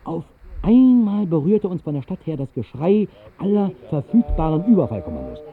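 Whimsical, animated radio-play storyteller, slightly dull sound. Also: male voice, a little high, moderate pace, slight background noise. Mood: wry, musing. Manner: wry, conversational storytelling with musing asides. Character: whimsical man recounting a fantastical night flight over the city. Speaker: first-person narrator of a radio play. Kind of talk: 1960s literary radio play narration, storytelling.

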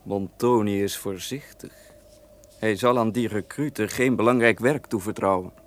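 An actor playing a proud, guarded officer in a radio drama, speaking formally and earnestly. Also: male voice, lowish voice, moderate pace, close to an everyday voice. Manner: formal, courteous, declamatory. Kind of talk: Dutch radio drama acting. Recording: noisy old radio play recording.

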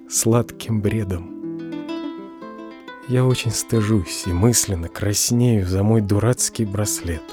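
An actor playing a humble, lovelorn clerk in an audio drama, delivering a monologue in an earnest, subdued, measured way. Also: male voice, lowish voice. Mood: ashamed, resigned. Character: lovelorn, humble male clerk reciting his farewell letter. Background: plucked guitar music.

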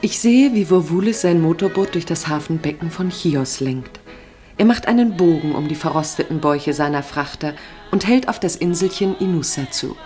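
Female narrator, low voice, literary radio play, scripted storytelling, faint music behind. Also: lively intonation, moderate pace.

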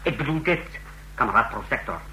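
A man's voice: medium pitch, animated, brisk, bright, forceful tone, a little hiss or hum, poor audio. An actor playing a hesitant secret police chief in a radio play, deferential to his ruler. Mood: cautious scheming.

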